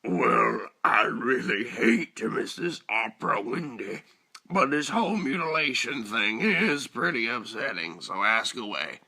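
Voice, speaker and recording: Male actor as jaded housewife, slow, dry deadpan, intensely bright tone, comic dub. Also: medium pitch, breathy edge, exaggerated voice.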